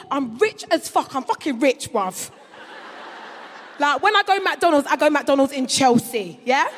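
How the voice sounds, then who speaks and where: A woman's voice: high voice, a little hiss or hum. A woman in her thirties with a London accent doing stand-up, brash and boastful, full of crude slang. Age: 30s. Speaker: stand-up comedian. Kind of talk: stand-up routine. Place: large concert hall before an audience. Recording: live hall recording with long reverb.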